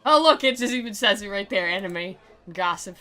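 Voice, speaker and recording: A woman's voice: medium pitch, expressive, a little fast, pushed and bright. A woman giving sarcastic, theatrical commentary as she plays and reads aloud from a video game, in a mocking, giggle-prone way. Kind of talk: sarcastic playthrough commentary with dramatic reading.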